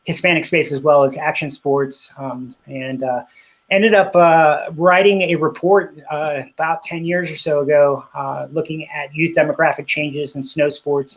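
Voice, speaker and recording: A middle-aged man in a casual interview, talking about his work with frequent pauses, fillers and restarts; he grew up speaking Spanish. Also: a little high, fairly bright tone, low-quality recording. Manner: hesitant, many fillers and restarts. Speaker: interview guest, cycling business owner.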